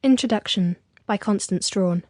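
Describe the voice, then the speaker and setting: Woman reading a literary intro for an audiobook, soft mellow voice, really dry studio sound. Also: mid-range voice, moderate pace, dry recording. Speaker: audiobook introduction reader and author. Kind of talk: scripted literary narration, reading a personal essay.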